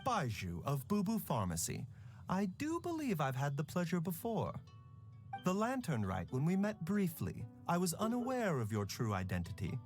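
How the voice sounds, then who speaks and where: A man voicing a composed, courteous male physician in a video game, who speaks in a gentle, polite and measured way. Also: on the high side, wildly swooping pitch, soft background music.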